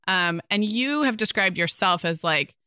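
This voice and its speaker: A woman co-hosting a podcast in casual conversation, slightly hoarse from a cold, thinking her ideas through out loud. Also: mid-range voice, lively intonation, a little slow, no room sound, clean studio sound. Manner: thoughtful, talks through ideas with restarts. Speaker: podcast co-host.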